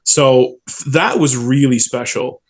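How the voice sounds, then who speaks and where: This is a man in his forties with a medium-pitched voice. He tells stories casually, like an interview guest among friends. His manner is enthusiastic and colloquial as he reminisces. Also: a little slow. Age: 40s. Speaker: stadium PA announcer, interview guest.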